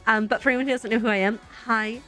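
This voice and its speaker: A woman's voice: fairly high pitch, steady tone, fast talker, sharp tone, soft background music. A young adult woman streaming a game, chatting in an upbeat, giggly way and a little congested. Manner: chatty, upbeat, giggly, talks to herself while building. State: slightly congested. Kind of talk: casual chat while playing a game.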